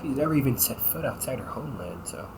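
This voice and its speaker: A man's voice: mid-range voice, lively intonation, fast talker, creaky, a little mellow, slight background noise. A man playing a cynical, teasing vampire cop in an audio roleplay, talking in a slangy, sarcastic and flippant way. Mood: dismissive, irritated. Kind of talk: audio roleplay, casual conversational acting.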